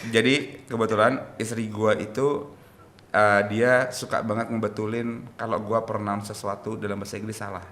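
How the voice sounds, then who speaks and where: A man, a film producer, chatting as a guest in a casual interview, telling stories in an animated way. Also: lowish voice, moderate pace, reverberant.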